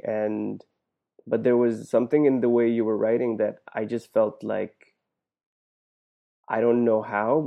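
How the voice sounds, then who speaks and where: A man's voice: lowish voice, gravelly. A man, a Hindi speaker, hosting a conversational interview. He talks in thoughtful, rambling turns and often restarts his sentences. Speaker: podcast host. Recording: dull lo-fi audio.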